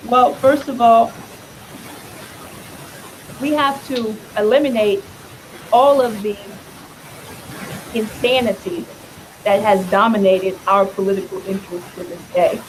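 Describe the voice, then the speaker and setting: A hoarse, raspy-voiced woman speaking to a room as a political candidate. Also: medium pitch, steady tone, unhurried, pauses often, slight background noise, low-quality recording. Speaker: congressional candidate. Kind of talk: campaign speech.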